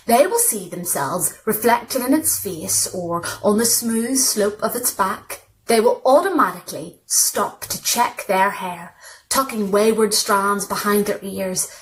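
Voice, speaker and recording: Female story reader, mid-range voice, scripted literary narration, slightly noisy recording. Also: medium pitch. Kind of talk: literary short story narration, read aloud.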